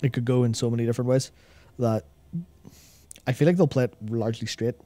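A young man with a soft-edged, mellow voice thinks aloud as a gaming show co-host, circling and repeating words in casual discussion. The close studio mic is very dry, with faint music behind. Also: mid-range voice, fairly even intonation, brisk, no echo. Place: small indoor studio with acoustic foam panels. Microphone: broadcast mic on a boom arm, close.

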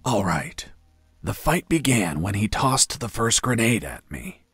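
A man playing a gruff, cocky prisoner action hero in a video game, telling his story in a deadpan drawl. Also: lowish voice, measured pace, dry recording, exaggerated voice. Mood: matter-of-fact.

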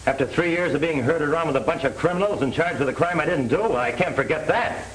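An actor playing a wronged, earnest young American ex-convict in 1930s film style. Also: male voice, a little high, quick pace, fairly bright tone, close to an everyday voice, rough recording. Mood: indignant, pleading. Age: young adult. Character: wronged young ex-convict, earnest, proud former football player. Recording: hissy 1930s film soundtrack.

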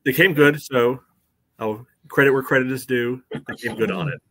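A man's voice: mid-range voice, steady tone, moderate pace, fairly bright tone. A man in his thirties giving casual soccer fan commentary as a podcast co-host on a video call. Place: indoor room at home, on a video call.

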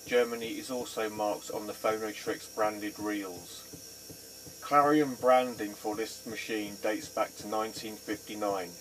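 A man giving a scripted demonstration in a plain, measured, matter-of-fact way. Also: lowish voice, steady tone, rough recording. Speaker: presenter demonstrating vintage equipment. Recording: faint background noise.

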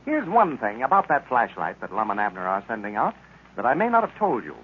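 A man's voice: medium pitch, wildly swooping pitch, a little fast. A man working as an old-time radio announcer, reading scripted sponsor copy with polished, persuasive salesmanship. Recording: tinny, noisy old radio recording.

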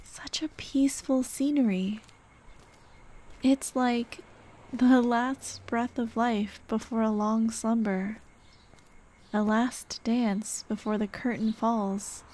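A woman playing a tender, playful late girlfriend in an ASMR roleplay, speaking in a gentle, coaxing, teasing way. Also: on the high side, fairly even intonation, takes it slowly. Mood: wistful, gentle. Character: tender, playful dead girlfriend comforting her grieving partner. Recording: clear ambient noise behind. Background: ambient sound effects.

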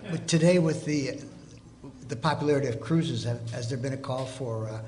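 A man's voice: medium pitch, normal speed, darkish tone, a little hiss or hum. A man asking casual questions as an interviewer at a live panel.

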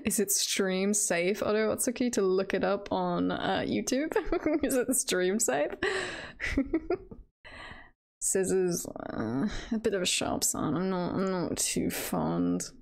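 A woman's voice: mid-range voice, lively intonation, takes it slowly, vocal fry, long pauses. A woman chatting casually on a livestream in a giggly, playful, rambling way. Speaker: livestreamer, virtual avatar host.

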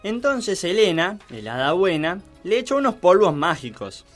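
Guy narrating a spoof kids story, highish voice, music under it. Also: big pitch swings, moderate pace. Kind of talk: mock children's storytelling, scripted narration.

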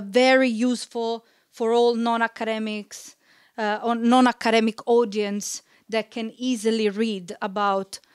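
A woman in her thirties responding to a book at a panel event, speaking carefully into a handheld mic with frequent pauses and restarts. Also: fairly high pitch, clean tone, dry recording, clean studio sound. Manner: careful, hesitant with many uhs and restarts. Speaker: discussant at a panel. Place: event stage in a large room.

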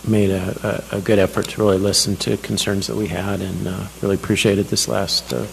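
Council member, man, low gravelly voice, soft spoken. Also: flat delivery, brisk, a little hiss or hum, rough recording. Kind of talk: formal meeting remarks.

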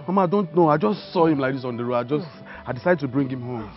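A man's voice: mid-range voice, expressive, quick pace, faint music behind, lightly acted. A man playing a son who soothes his mother and keeps apologizing. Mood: soothing. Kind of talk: film drama acting. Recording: dull lo-fi audio. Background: loud film score.

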